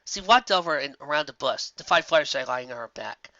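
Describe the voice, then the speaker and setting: Bright, lively story narrator, slightly lo-fi. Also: medium pitch, fairly quick, dry recording. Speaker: fan fiction narrator.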